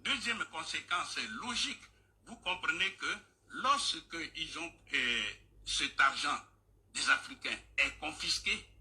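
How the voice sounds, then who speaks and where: A man's voice: fairly high pitch, takes it slowly, sharp tone. A man explaining at length on a radio discussion, insistent and lecturing, repeating his points. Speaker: guest speaker on a radio discussion.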